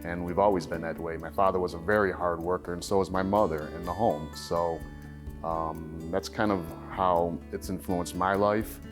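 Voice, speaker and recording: A man's voice: sits low, fairly even intonation, moderate pace, music in the background. A man speaking in an easygoing interview about family life, with many "you know"s. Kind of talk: interview clip for a tribute video.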